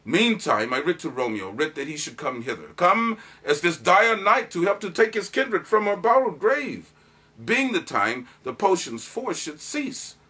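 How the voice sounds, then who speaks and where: A man's voice: high-pitched, animated, measured pace, pushed and bright, slightly rough, full character voice. A man performing a grave cleric who confesses a tragedy in a solemn, declamatory Shakespearean monologue. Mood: urgent, confessing.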